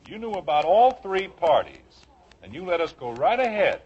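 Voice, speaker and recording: A man's voice: sits high, moderate pace. An actor playing a harried, exasperated husband and father in a 1950s radio sitcom. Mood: accusing. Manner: excitable, exasperated. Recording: muffled old radio recording.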